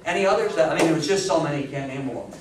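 A man in his sixties giving an animated, informal talk to an audience, exclaiming and asking them questions with enthusiasm. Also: fairly high pitch, very fast. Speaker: lecturer. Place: indoor meeting room before a seated audience. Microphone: distant camera mic. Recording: echoey room, distant mic, audience noise.

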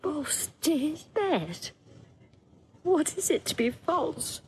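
High-voiced, impassioned princess. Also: female voice, very animated, slow, darkish tone, a little hiss or hum, full character voice. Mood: wounded, tearful. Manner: impassioned, declamatory. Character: anguished, proud young princess, wronged wife. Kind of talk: classical Shakespearean audio drama acting.

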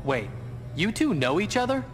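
An actor playing an eager, cocky young tech lieutenant in a video game cutscene, with an excitable manner. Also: male voice, high-pitched, moderate pace. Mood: eager, boastful. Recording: loud music behind.

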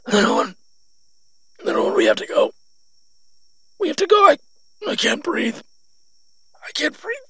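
A man playing an ancient, weakened vampire father in an audio roleplay, breathy and raspy, speaking in a halting, protective way. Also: very high voice, wildly swooping pitch, bright, forceful tone, no echo, heavily acted. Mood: choking, desperate.

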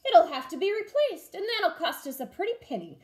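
A woman's voice: very high-pitched, big pitch swings, brisk, voice pushed up. A woman voicing a blustering, bossy male pirate captain in a storybook, hollering in pirate dialect. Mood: loud, demanding.

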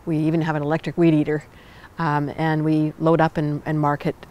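Low-voiced older woman farmer. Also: a little fast. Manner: earnest, relaxed, with fillers. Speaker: urban farmer. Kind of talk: documentary interview. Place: outdoors on a farm. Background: guitar music.

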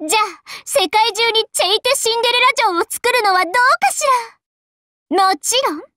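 A woman's voice: very high voice, normal speed, sharp tone, dry recording, studio quality. A woman voicing a vain, bubbly young princess idol in a Japanese anime game, with a haughty, playful and theatrical delivery. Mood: haughty, excited.

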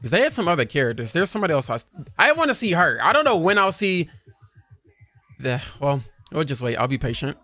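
A young man hosting a casual anime reaction on a headset mic in a home streaming room, animated and quick to laugh. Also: fairly high pitch, dry recording. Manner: animated, laughs often, talks fast in bursts.

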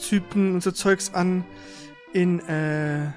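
A man's voice: sits high, fairly even intonation, slow, a little mellow, soft background music. A man hosting a gaming livestream, chatting informally and rambling a little. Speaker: gaming stream host. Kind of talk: casual livestream chat.

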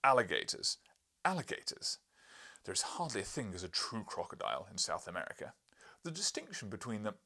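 A man's voice: lowish voice, wildly swooping pitch, a little fast, gravelly, sharp tone, heavily acted. A man voicing a blustering, pompous, irascible professor in an audiobook, bellowing with scornful emphasis. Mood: indignant.

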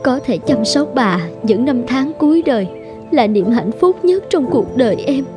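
Casting: sorrowful, tender young woman in a cartoon drama, fairly high pitch, emotive delivery, clear music underneath. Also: expressive, moderate pace. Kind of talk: dramatic cartoon dubbing in Vietnamese.

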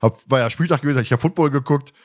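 A man's voice: medium pitch, racing. Male podcast host, mid-range voice, loose rambling delivery with friendly banter and teasing. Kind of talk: casual podcast chat.

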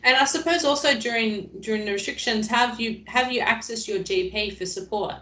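A woman's voice: a little high, fairly quick, pushed and bright. Woman interviewer in an echoey room. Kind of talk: interview questions. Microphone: video call. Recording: echoey room on a video call.

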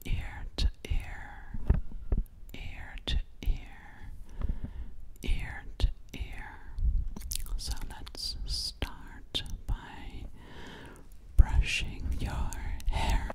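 Young female ASMR artist: unhurried, fully whispered, breathy, close-miked, with repetitive soft phrasing. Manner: slow, repeats words and phrases softly. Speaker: ASMR performer. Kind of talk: ASMR personal attention role-play. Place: small indoor room. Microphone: very close microphone, ear to ear.

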